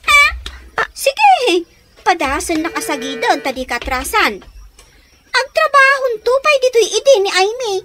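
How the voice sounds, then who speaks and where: A woman's voice: very high voice, big pitch swings, unhurried, long pauses, loud music behind the voice, heavily acted. A woman with a Filipino accent acting a feisty, outspoken yet sentimental woman in a radio drama. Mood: scolding, indignant.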